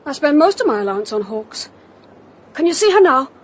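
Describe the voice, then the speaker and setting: A woman's voice: high voice, very animated, fairly quick. A woman voicing an eager young schoolboy who keeps hawks, talking earnestly in a radio play. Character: eager young schoolboy son, keen falconer. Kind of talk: radio drama acting.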